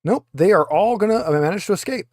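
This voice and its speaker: Man running a tabletop game, slightly high voice. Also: expressive, quick pace, a little mellow, dry recording, clean studio sound. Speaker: game master. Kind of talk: unscripted tabletop game narration.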